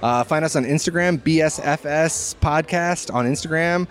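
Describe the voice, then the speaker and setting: A man chatting casually as a podcast guest, telling stories in an animated, informal way. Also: a little high, moderate pace. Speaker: podcast guest, co-host of a film podcast. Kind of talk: casual storytelling chat. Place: on location at a Halloween event.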